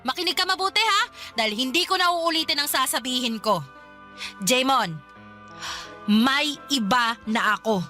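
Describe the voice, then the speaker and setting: A woman's voice: sits high, expressive, moderate pace, pauses often, pushed and bright, faint music behind. An actress with a Filipino accent playing a cruel, scornful young woman in a radio drama, taunting and impatient. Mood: impatient, cold. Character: scornful, cruel young female partner, cold and mocking.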